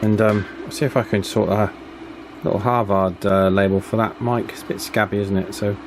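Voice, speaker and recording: A man's voice: lowish voice, steady tone, normal speed, background noise. Chatty man, casual hobby vlogger. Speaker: host of a radio repair and testing channel. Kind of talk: casual vlog commentary.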